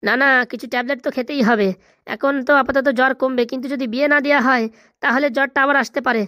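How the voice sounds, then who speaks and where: Woman as a high-pitched, cocksure cartoon doctor. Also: fairly even intonation, fast, bright, forceful tone, no room sound, studio quality. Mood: knowing. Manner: boastful, emphatic. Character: brash, cocksure village doctor with comic diagnoses. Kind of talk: comic cartoon voice acting.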